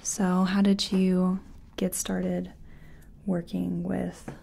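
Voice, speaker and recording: Soft spoken asmr lady, breathy whispers w lots of fry, mellow, chatty makeup artist roleplay. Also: fairly low pitch, completely flat delivery, very slow, a little hiss or hum.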